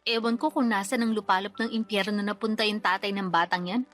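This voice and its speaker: A woman's voice: mid-range voice, fairly even intonation, fast, a bit bright, natural voice. A woman playing a worn-out young single mother. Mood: weary. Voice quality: weary, complaining, defensive. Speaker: the letter sender in the scene. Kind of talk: Tagalog radio drama acting. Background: faint background music.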